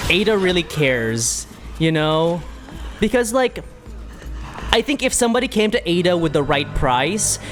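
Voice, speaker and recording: Casual game streamer of indeterminate sex, mid-pitched voice, informal and playful, game music clearly behind. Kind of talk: casual livestream playthrough commentary. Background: game music and sound effects.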